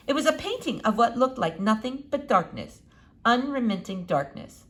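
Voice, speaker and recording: A woman narrating a children's novel as an expressive read-aloud. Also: a little high. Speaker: storybook reader.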